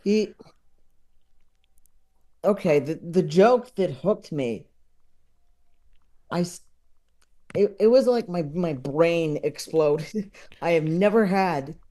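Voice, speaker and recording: Mellow-voiced woman, halting casual talker. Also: on the low side. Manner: halting, searching for words. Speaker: podcast guest. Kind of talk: casual chat.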